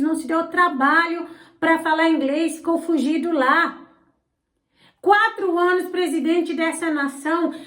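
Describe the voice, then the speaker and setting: Non-native woman host with a very high voice, indignant and sarcastic, recorded in an echoey room. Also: fairly bright tone. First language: not Portuguese. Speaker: channel host, political commentator. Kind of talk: opinionated political commentary. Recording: roomy echo.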